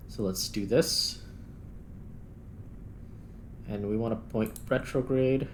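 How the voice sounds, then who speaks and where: A man hosting a gaming channel, giving casual gameplay commentary and thinking aloud as he plays. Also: on the low side. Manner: thinks aloud while playing. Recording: clear background noise.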